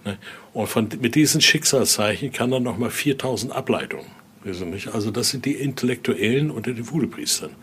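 A man giving an informal, halting explanation as a guide, often ending phrases with little questioning tags. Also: medium pitch, a bit bright, husky. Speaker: interviewee, exhibit guide and Voodoo expert. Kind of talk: informal spoken explanation during a guided tour.

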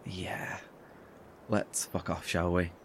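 An actor playing a weary, anxious survivor in a horror audio drama, speaking haltingly with sighs and nervous chuckles. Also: male voice, low-pitched, little pitch movement, whispered. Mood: uneasy, wry. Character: weary, anxious male survivor, wry and haunted.

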